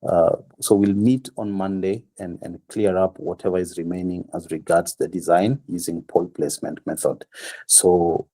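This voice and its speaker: A slightly hoarse man giving a university lecture, explaining step by step with frequent pauses and fillers. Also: low voice, level tone, dark tone. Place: classroom.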